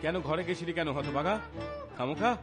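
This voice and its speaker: A man voicing a gruff, scolding master in a cartoon, muttering over music. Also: on the high side, brisk, lightly acted. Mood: irritated, scolding. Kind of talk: scripted cartoon voice acting.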